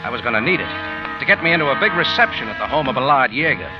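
An old radio recording, muffled and with prominent music, carries a man acting as a hard-boiled American detective. He is mid-pitched and very animated, wry, slangy and tough. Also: fast talker, natural voice. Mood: terse narrating. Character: tough, wry American private-eye hero narrating his case. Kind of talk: old-time radio drama narration and dialogue.

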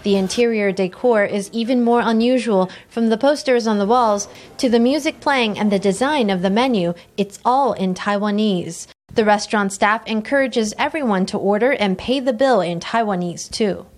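A woman narrating a news feature as a reporter in a clear, steady voice-over. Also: medium pitch, moderate pace.

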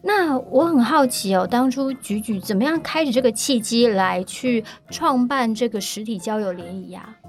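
A woman hosts a relaxed podcast conversation. Her voice sits a little higher than usual. She is playful and chatty, and she chimes in quickly with small jokes and echoes of the guest's words. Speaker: podcast host. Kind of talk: casual interview chat.

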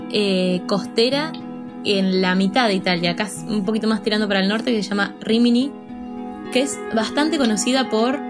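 A woman in her twenties from Argentina with an Argentine Spanish accent, casually telling her own story with fillers and laughs. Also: medium pitch. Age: 20s. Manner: chatty, self-deprecating, with fillers and laughs. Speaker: interview guest.

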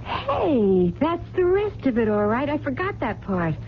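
Lively, plain-spoken American waitress. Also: female voice, sits high, measured pace, full character voice. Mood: wry, friendly. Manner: casual, colloquial, folksy. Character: plain-spoken, soft-hearted diner waitress. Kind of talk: radio drama acting. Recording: dull lo-fi radio recording, faint noise.

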